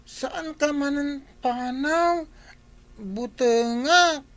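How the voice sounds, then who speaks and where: A woman's voice: high-pitched, drawn-out words, no echo. A woman voicing a fretful small boy who stammers and whines in a radio drama. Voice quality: stammering, whiny.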